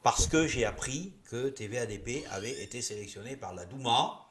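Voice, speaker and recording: A man's voice: mid-range voice, expressive, moderate pace. A man from Gascony, a Gascon speaker, talking as a show guest in an earnest, insistent way. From Gascony, southwest France. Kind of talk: call-in show talk.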